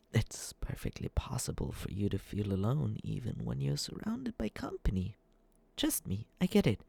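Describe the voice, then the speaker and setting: A man playing a nervous, lovestruck young male stalker in an ASMR roleplay, breathy and halting, stammering earnestly as he softly reassures the listener. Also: a little high, dry recording, full character voice. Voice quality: breathy, whispery. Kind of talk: ASMR roleplay, soft scripted monologue to the listener.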